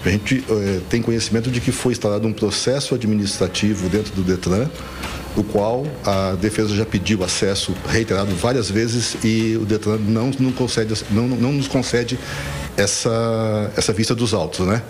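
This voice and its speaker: Older Brazilian man, low voice, noisy recording. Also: steady tone. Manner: halting, formal. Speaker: lawyer being interviewed. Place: book-lined office. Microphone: handheld reporter's microphone. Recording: clear background noise.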